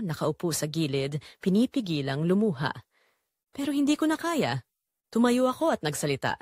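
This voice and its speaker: A woman performs a long-suffering mother in an audio drama, narrating her own sorrows. Her delivery is emotional and pleading, with pitch that moves widely. The sound is close and dry. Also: no echo. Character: Marites, weary, neglected, long-suffering Filipino mother. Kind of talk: first-person dramatic audio story narration.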